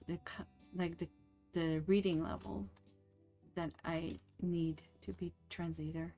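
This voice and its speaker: A young woman whose first language is French, chatting casually and rambling with fillers while she streams a game. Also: on the low side. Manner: slow, rambling, many fillers and restarts.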